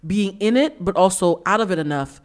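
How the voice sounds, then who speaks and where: A woman in her forties from the US East Coast hosts a frank podcast conversation. She talks at a brisk clip, and her voice stays in an ordinary middle register. She tells stories emphatically and holds firm opinions. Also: medium pitch, lively intonation. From East Coast of the United States. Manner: emphatic, opinionated storytelling with acted-out quotes. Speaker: podcast host.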